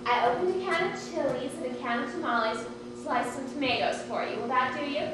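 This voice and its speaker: Woman acting high-voiced fussy aunt, echoey stage. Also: a little fast, lightly acted. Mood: fussing. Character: fussy, bossy, chatty middle-aged aunt and housewife. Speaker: stage actress. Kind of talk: live one-act stage comedy acting. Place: indoor stage, recorded from afar. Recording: echoey noisy hall, distant mic.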